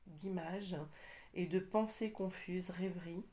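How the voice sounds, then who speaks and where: A woman with a slight cold doing a casual tarot reading, talking hesitantly with many pauses and fillers. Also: lowish voice, steady tone, dark tone. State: has a cold, slightly congested. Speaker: tarot card reader.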